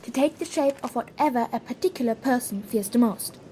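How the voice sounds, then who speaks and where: A woman playing an eager, bright, easily indignant schoolgirl in an audio drama. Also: on the high side, a little fast, slight background noise, close to an everyday voice. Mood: knowing. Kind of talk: fan audio drama acting.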